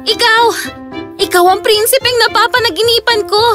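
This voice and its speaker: A woman voicing a sweet, timid young princess in an animated children's story, girlish, hesitant and wistful. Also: extremely high pitch, moderate pace, over music. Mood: surprised delight.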